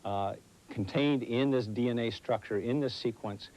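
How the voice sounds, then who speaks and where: A man, a research scientist, explaining things in an unscripted interview, deliberately stressing key words. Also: medium pitch, darkish tone, muffled recording. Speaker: scientist, research team leader. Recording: 1980s TV interview, faint music.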